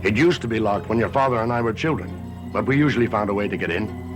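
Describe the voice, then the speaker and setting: An older man acting a cryptic, haunted grandfather in a gothic television soap, speaking in a theatrical, measured, portentous way. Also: fairly low pitch, over loud music, close to an everyday voice. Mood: calm, evasive.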